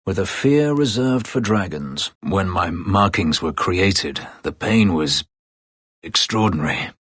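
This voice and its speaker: A man playing a brooding, bitter escaped-slave elf warrior in a video game, terse, guarded and sardonic, with formal diction and a gravelly low voice. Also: expressive, slow pace, fairly bright tone, no room sound, studio quality, full character voice. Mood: bitter, haunted. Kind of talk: video game character dialogue.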